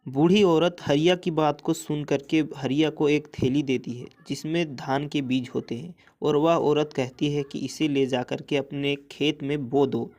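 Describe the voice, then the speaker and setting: A man's voice: on the high side, fairly even intonation, measured pace, few pauses, a little mellow. A man narrating a scripted moral story for children as a storyteller.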